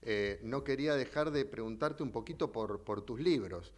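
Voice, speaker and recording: A man hosting an interview in a relaxed, jokey way, steering the questions. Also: mid-range voice, moderate pace. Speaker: host. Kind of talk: casual interview talk.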